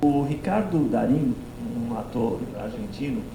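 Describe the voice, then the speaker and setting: A man from the audience asks a question in a mid-range voice, pausing to find his words. The room is echoey and there is clear noise in the background. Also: mid-range voice, expressive. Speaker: audience member asking a question.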